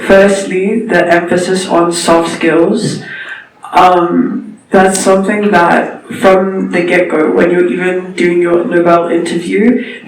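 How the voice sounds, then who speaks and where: A young man from South Africa speaks in a fairly high but flat voice, delivering an earnest presentation and slowing down to stress key points. He sits a little way from the mic in an echoey room, with a faint ambient hum behind him. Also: recorded from a distance. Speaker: intern giving a presentation. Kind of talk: informal talk at a panel event.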